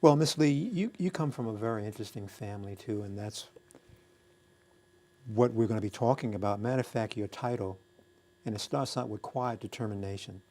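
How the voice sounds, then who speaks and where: A man's voice: fairly low pitch, a little fast, darkish tone. A man working as guest host of an interview program, introducing and questioning his guest. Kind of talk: hosting a recorded interview.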